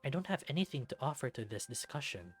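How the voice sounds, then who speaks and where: Husky-voiced man narrating a visual novel. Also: medium pitch, sing-song, fast talker. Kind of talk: scripted first-person narration. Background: music.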